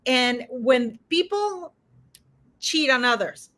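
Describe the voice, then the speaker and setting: A woman with a Montenegrin accent hosting a live stream, chatty and excitable, with lots of fillers and restarts. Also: sits high, slow pace. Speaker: host. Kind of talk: casual live-stream chat.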